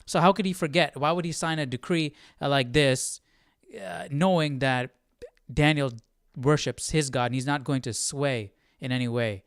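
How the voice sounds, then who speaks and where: A man hosting a live Bible study with casual, animated commentary, thinking aloud in halting bursts. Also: mid-range voice, smooth, no room sound, clean studio sound.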